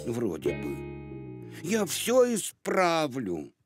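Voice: mid-range voice, wildly swooping pitch, extremely slow, vocal fry, full character voice. An actor playing a timid, gloomy, apologetic big male elephant in a children's cartoon, speaking hesitantly and dolefully. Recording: loud music behind.

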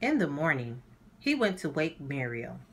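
A woman hosting a children's read-aloud, narrating a storybook in a deliberate, animated way for young listeners. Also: sits low, breathy edge.

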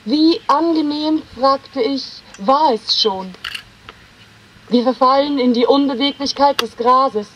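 A woman with a high voice reads poetry aloud at a slow pace. Her phrasing is measured and deliberate, as in a literary reading, with space left between the short lines. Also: dull audio, slight background noise. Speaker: poetry reader.

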